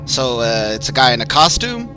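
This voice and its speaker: A man's voice: mid-range voice, big pitch swings, normal speed, music in the background, close to an everyday voice. A man voicing a concerned, skeptical male best friend who questions in disbelief. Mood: incredulous.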